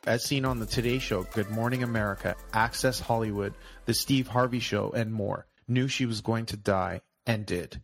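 A man's voice: on the low side, moderate pace, gravelly, hardly any pauses, faint music behind. A man hosting a podcast, enthusiastically introducing and questioning his guest. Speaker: podcast host. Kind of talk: podcast interview.

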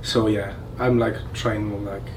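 A man's voice: fairly low pitch, little pitch movement, measured pace. A young French-accented man hosts a casual podcast chat, rambling and giggling with a constant stream of "like." He sits a little away from the mic. Faint background hiss runs under the talk. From France. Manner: loose, giggly, heavy use of "like". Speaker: podcast host. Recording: distant mic with faint ambient noise.

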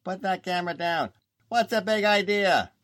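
An actor playing a brash small-town mob heckler, jeering, in a 1940s radio drama. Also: male voice, sits high, moderate pace, fairly bright tone, no room sound, studio quality. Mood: scornful.

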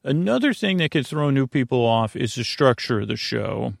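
A man with a creaky voice hosting a sleep podcast in a meandering, halting monologue that often interrupts itself. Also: medium pitch, sing-song, dry recording, clean studio sound. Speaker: podcast host.

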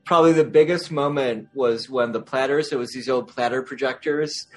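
A man's voice: medium pitch, moderate pace, darkish tone, soft background music. A man hosting a podcast, friendly and joking with his guests. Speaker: podcast host. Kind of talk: casual podcast conversation.

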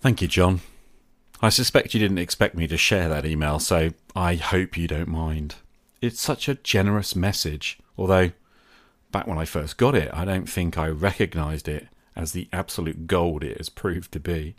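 A man speaking as a podcast host in a reflective scripted monologue, with an earnest, measured manner. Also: low voice.

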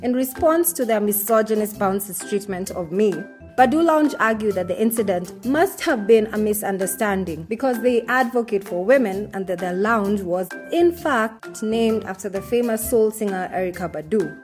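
A woman reading a personal essay aloud in the first person, with a measured, indignant delivery. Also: medium pitch, lively intonation, darkish tone, soft background music.

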